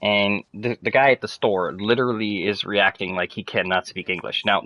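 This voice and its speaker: A young man who grew up in French Canada with French as his first language, ranting casually to friends in a fast, rambling way full of fillers and swearing. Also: low-pitched, pushed and bright, no echo, rough recording. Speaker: streamer chatting with friends.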